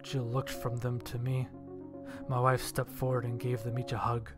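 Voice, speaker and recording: A man's voice: medium pitch, completely flat delivery, moderate pace. A man narrating a scripted first-person story and acting out his own lines of dialogue. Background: background music.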